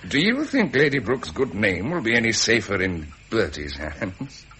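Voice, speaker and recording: An actor playing an embittered British naval commander, wry and forthright, with an upper-class English accent. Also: male voice, fairly low pitch, very animated, normal speed, sharp tone, a little hiss or hum, heavily acted. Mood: bitter, sardonic. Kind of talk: 1970s British period drama acting.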